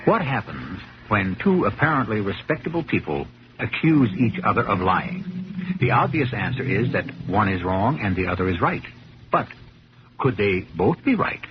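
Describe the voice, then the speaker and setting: A man hosting a 1970s radio drama, narrating in a deliberate, theatrical way full of rhetorical questions. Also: lowish voice, sing-song, background noise. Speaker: host and narrator. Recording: muffled 1970s radio broadcast.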